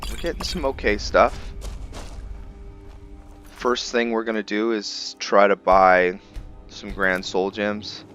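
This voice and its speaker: A man's voice: on the low side, steady tone, slow, pauses often, a bit bright. A man giving casual let's play commentary as a gaming video host, laid-back and thinking aloud. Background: game music.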